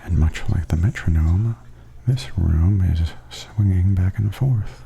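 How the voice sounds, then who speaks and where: A man giving softly spoken ASMR tour commentary in a breathy, often near-whispered voice, gentle and observational. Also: low-pitched, slow pace. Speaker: vlogger giving a walking tour. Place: walking through a theme park and its indoor attractions. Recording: steady background noise and ambience.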